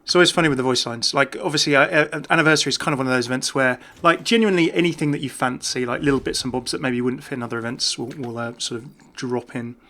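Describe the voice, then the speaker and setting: A man's voice: medium pitch, quick pace, hardly any pauses, pushed and bright. A man giving casual, off-the-cuff video game commentary in a chatty, enthusiastic way. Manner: chatty, enthusiastic about small details.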